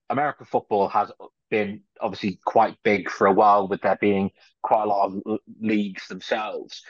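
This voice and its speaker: A man's voice: lowish voice, no echo. A middle-aged man hosting a podcast, asking long winding questions and leaning on the word "obviously". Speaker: podcast host. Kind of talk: interviewing. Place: home room indoors. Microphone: video call. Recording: dull lo-fi video call audio.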